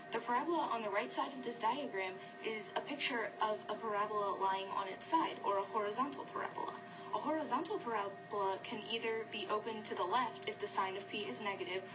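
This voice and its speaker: A woman's voice: fairly high pitch, highs cut off. A young woman giving a scripted math lesson as a student presenter. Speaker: student presenter in a puppet show. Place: classroom. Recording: distant classroom camera.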